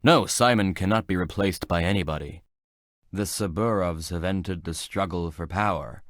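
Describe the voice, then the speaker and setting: An actor playing a weary, grave old judge in a video game, speaking in a solemn, deliberate way. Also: male voice, sits low, a bit bright, dry recording, studio quality. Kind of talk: scripted game character lines.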